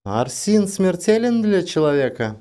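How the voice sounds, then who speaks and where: A man's voice: sits high, very animated, measured pace, mellow, pitched above the performer's own voice. A man voicing a tense female space expedition commander, urgent and demanding in her questions, in an audiobook drama.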